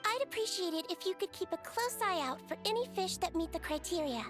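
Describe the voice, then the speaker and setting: A tiny, cheerful fairy companion from a video game. The voice is extremely high and childlike, with lively swoops and perky excitement. Music plays clearly behind it. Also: female voice, fairly quick. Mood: businesslike, friendly. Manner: perky, refers to self in third person.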